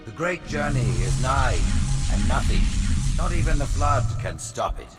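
A man voicing an old male alien high priest who speaks in sweeping, preaching oratory. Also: lively intonation, measured pace, loud music behind the voice, natural voice. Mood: proclaiming. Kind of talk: video game cutscene acting. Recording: loud orchestral game score behind.

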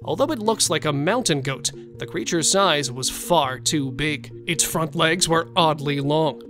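A man reading a scripted countdown of strange sightings, with dramatic pauses and questions to the viewer. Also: a little high, a bit bright. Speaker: narrator. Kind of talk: scripted narration.

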